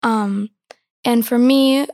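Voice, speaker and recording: Teen girl guest, high-ish, dry close mic, hesitant and thoughtful. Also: fairly even intonation, slow pace, a little mellow, no room sound. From born in Ecuador, raised in the United States. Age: teens. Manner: thoughtful, hesitant, many fillers. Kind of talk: interview answers.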